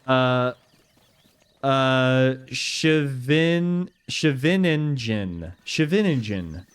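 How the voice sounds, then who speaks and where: Animated, unhurried young man streaming. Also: medium pitch, smooth. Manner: chatty, reads chat aloud, laughs easily. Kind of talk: casual gameplay commentary.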